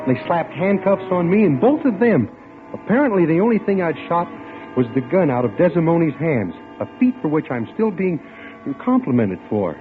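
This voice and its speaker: Nervous male lead, American, earnest and highly animated, thin vintage radio broadcast sound. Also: normal speed, narrow, tinny audio, over music. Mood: wry. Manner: nervous, earnest, dramatic delivery. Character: earnest, jittery, lovestruck ordinary man narrating his misadventure. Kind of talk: mid-century American radio drama narration and acting.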